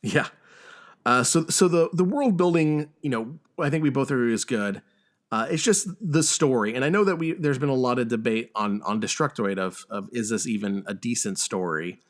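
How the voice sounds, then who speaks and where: A man hosting a casual film discussion podcast, who speaks haltingly and often repeats words as he goes. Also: medium pitch. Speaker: podcast host.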